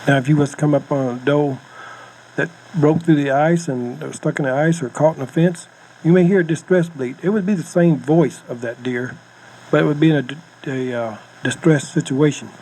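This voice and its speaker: A slightly noisy cassette recording carries an American man with a somewhat high-set voice. He speaks as a hunting instructor, explaining things informally in plain, folksy phrasing. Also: normal speed, darkish tone. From United States. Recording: noisy home-style cassette tape recording.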